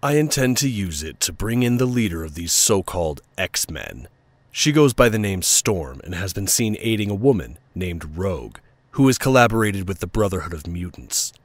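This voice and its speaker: A brusque, condescending male official from an audio drama voiced by an actor. His voice is low and highly varied in pitch, full of hard-line arrogance, and sounds dry and close. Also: big pitch swings, moderate pace, no echo. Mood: determined, hard.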